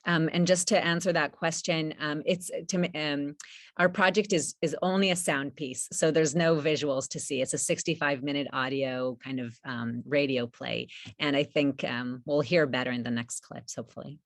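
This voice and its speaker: A woman presenting a project informally at a panel event, halting as she searches for her words. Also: low-pitched, fairly even intonation, no room sound, clean studio sound.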